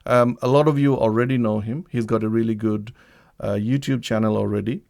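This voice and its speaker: A man from Bangladesh opens a live online show, talking in a halting way full of fillers and self-corrections. His voice sits a little low, and he talks over a computer mic. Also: fairly even intonation, creaky, a little mellow. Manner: hesitant, many ums and restarts. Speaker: livestream host. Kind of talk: live-streamed talk introduction. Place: home setup, living in the UK.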